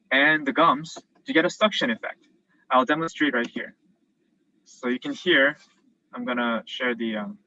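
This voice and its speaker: A man's voice: fairly high pitch, pushed and bright, dull audio. A young man who grew up in South Korea and is now a dental student, explaining cases casually with lots of fillers over a video call. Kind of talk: virtual presentation and Q&A.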